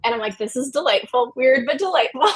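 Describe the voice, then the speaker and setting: Higher-voiced woman chatting candidly. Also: lively intonation, brisk, slightly rough. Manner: informal, peppers talk with "like", candid. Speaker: company co-founder, interview guest. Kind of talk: casual conversational interview answers.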